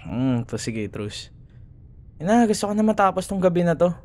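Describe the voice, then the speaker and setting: A man's voice: high-pitched, sing-song, normal speed, darkish tone, a little hiss or hum. A man with a Filipino accent playing a grumbling, teasing young school rival who secretly cares, in a sarcastic, casual ASMR boyfriend roleplay. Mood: sarcastic, bickering. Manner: sarcastic, casual bickering with sighs and murmured asides.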